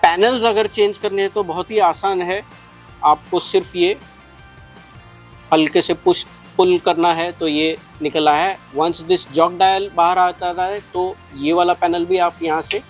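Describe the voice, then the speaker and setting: A man's voice: high voice, little pitch movement, a little slow, soft background music. A man gives a casual step-by-step product tutorial, explaining things and mixing in English phrases. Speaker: product reviewer and shop presenter.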